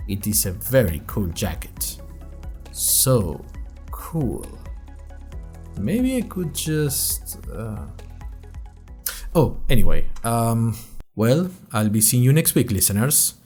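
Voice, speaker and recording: A man's voice: mid-range voice, big pitch swings, slow pace, long pauses, a little mellow, soft background music. A man playing an anxious young college freshman who narrates his podcast in a wry, rambling, self-conscious monologue. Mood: wry, hesitant. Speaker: narrator and host of a fiction podcast. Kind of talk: scripted first-person audio drama monologue.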